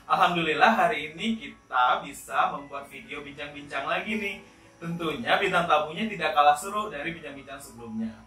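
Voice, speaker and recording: Bright-voiced man hosting, in an echoey room. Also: on the high side, expressive, brisk. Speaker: host of an interview channel. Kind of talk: casual podcast interview. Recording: roomy echo, distant mic, faint music.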